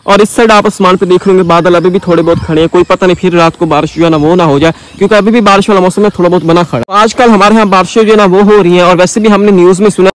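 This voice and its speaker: A man with a Pakistani accent, chattily explaining a recipe as a casual village cooking vlogger. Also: high voice. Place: outdoors in village fields and a brick-walled courtyard. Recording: clear outdoor ambient noise.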